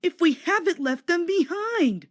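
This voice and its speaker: A woman voicing a cheeky, self-important young male train engine in a storybook, fussy and excitable. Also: very high voice, a little slow, pitched above the performer's own voice. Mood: startled.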